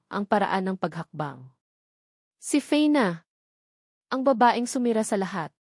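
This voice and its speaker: A woman with a Filipino accent reading scripted romantic drama narration in a measured, emotive way. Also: mid-range voice, darkish tone, no room sound, studio quality. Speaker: audiobook story narrator.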